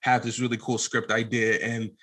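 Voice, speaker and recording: A man's voice: medium pitch, flat delivery, moderate pace, a bit bright. A man talking as a podcast guest in a casual storytelling style, earnest and rambling a little. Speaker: podcast guest, filmmaker and former pastor.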